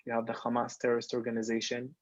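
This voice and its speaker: A young man from Lebanon talks as a podcast guest, often pausing on ums and starting sentences over. His voice sits at an ordinary pitch. The sound is dry and a bit muffled. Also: monotone, no echo. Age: 20s. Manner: hesitant, many ums and restarts, non-native grammar. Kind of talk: casual interview talk.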